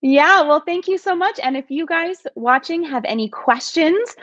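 A woman's voice: high voice, lively intonation, moderate pace, fairly bright tone. A woman in her thirties hosting a casual chat in an upbeat, smiling way. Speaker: host. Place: indoor room at home. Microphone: video call microphone. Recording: slightly dull video call audio.

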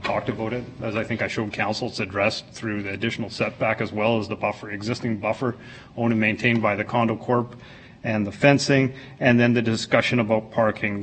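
A man's voice: on the low side, husky. A man giving an informal, plain-spoken staff presentation to a council, explaining details as a city planner. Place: council meeting room.

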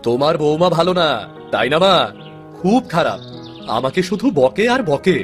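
A man's voice: on the high side, lively intonation, moderate pace, soft background music, lightly acted. A man playing a simple-minded village husband in a cartoon folktale, childlike, earnest and whiny. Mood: hurt, sulky. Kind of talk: fairy-tale cartoon acting.

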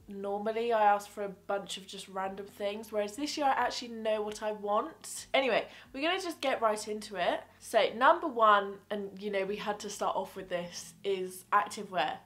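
A bubbly young woman in her twenties talks straight to camera in a small bedroom. Her voice sits at an ordinary middle pitch. She chats loosely, rambles and restarts her sentences. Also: fairly bright tone. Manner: bubbly, rambling, self-correcting. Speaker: fitness vlogger. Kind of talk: casual chatty gift-guide vlog. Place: small bedroom with fairy-light backdrop.

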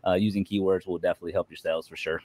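A man's voice: deep voice, fairly even intonation, rapid-fire, a little mellow, no echo. A man chatting casually as a panel guest, easygoing and loose in how he words things. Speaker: podcast guest. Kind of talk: casual chat.

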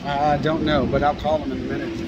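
A man from Louisiana filming a casual walk through dark city streets, joking and reassuring the others. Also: on the high side, level tone, a little fast, dull audio. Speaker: vlogger filming a night walk. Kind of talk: casual chat while walking. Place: city streets at night, outdoors. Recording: noisy outdoor street recording.

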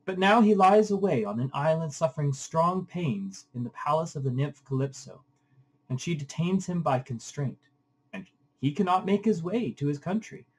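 An indignant goddess read by a man pleads in an epic storybook reading. The voice is declamatory and impassioned, lifted in pitch and a little husky. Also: lively intonation, moderate pace. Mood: indignant pleading. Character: indignant goddess pleading to her divine father.

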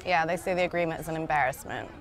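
A woman's voice: sits low, very fast. Female reporter among a crowd. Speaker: reporter on location. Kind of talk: on-the-spot reporting and interviewing. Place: outdoors at a street protest.

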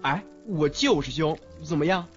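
A brash teenage boy acted by a man who teases and dotes, with very animated pitch. He speaks in radio-play style over clear background music. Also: normal speed, dull audio, close to an everyday voice. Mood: brusque. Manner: teasing, affectionate. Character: brash, teasing, doting teenage schoolboy. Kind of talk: Mandarin audio drama acting. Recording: band-limited, background music throughout.

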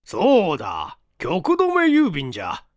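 A man voicing a gruff, forgetful old male professor with blustering, old-fashioned speech in an audiobook mystery. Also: a little high, wildly swooping pitch, slow pace, no room sound, clean studio sound, heavily acted. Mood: gruff, astonished.

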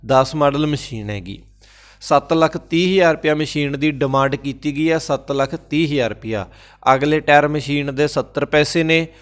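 A man with a Punjabi accent hosting a farm-machinery sales channel, briskly reading listings and repeating prices and phone numbers. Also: a little high, smooth. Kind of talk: classified sales rundown voice-over. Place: voice-over, not recorded at the pictured sites.

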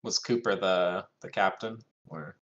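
A man's voice: deep voice, unhurried, a bit bright, muffled recording. A man talking casually as a player in a tabletop roleplaying game.

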